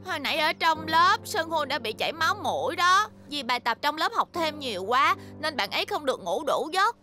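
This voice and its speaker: Very high-pitched, spirited little schoolgirl. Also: big pitch swings, fairly quick, bright, forceful tone, soft background music, full character voice. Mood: concerned, earnest. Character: spirited, cheeky little schoolgirl who loves to play. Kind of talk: animated children's cartoon dub acting.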